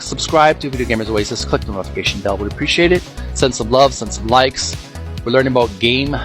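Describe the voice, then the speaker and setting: A man with a medium-pitched voice presents a casual read-aloud as a channel host. He goes through details piece by piece, halting and deliberate. Music is clearly heard behind him. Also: fairly bright tone. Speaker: host of a retro gaming channel, reading from a book.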